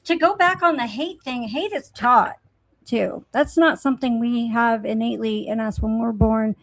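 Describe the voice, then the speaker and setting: A woman's voice: on the high side, normal speed, dry recording, low-quality recording. A woman chatting casually about her beliefs in a reflective, plain-spoken and opinionated way. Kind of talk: casual spiritual conversation.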